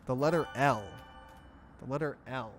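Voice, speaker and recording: A man's voice: mid-range voice, normal speed, soft background music. A man hosting a let's play, reading visual novel narration aloud and adding casual commentary. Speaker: gaming let's play host.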